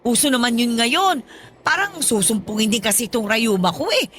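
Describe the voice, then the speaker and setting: Actress as older freeloading godmother, high bright voice, pushy, wheedling; radio drama. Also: moderate pace, lightly acted. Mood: demanding.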